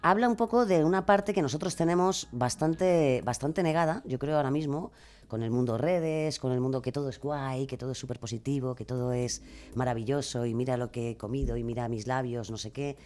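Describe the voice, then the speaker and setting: A woman with a Castilian Spanish accent talks casually as an interview guest. She is expressive and rambles a little, with a self-deprecating, informal way of explaining herself. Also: extremely low pitch, brisk. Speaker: guest, true-crime podcaster. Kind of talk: casual radio interview chat.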